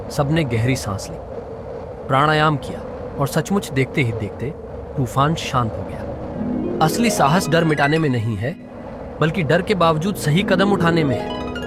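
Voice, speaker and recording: A man narrating a scripted moral story for children as a storyteller. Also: on the high side. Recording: clearly noisy background.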